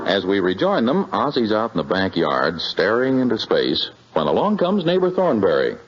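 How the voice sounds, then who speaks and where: Low-voiced vintage radio announcer man. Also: wildly swooping pitch, normal speed, husky. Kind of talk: 1950s radio show scripted narration. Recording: muffled vintage radio, music behind.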